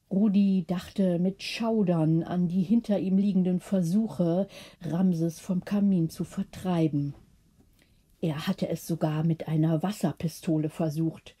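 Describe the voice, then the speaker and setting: A woman's voice: on the low side, warm tone, husky. A woman narrating a scripted bedtime story as an audiobook reader.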